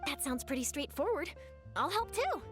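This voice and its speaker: Eager young woman reporter, game character, very high voice, swooping pitch, forthright, loud music behind. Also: normal speed. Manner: excitable, forthright. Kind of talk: video game voice acting.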